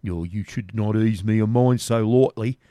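Male narrator as a dogged, surly servant; dark low tone, dry sound. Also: normal speed, no echo, lightly acted. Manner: dogged, grumbling.

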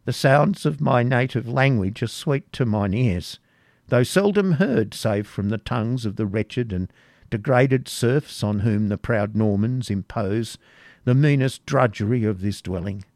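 A man's voice: mid-range voice, measured pace, a little mellow, no room sound, studio quality, natural voice. A man voicing a harsh, scolding old crone who guards a castle turret, reading a classic novel aloud. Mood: coaxing. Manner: scolding, commanding.

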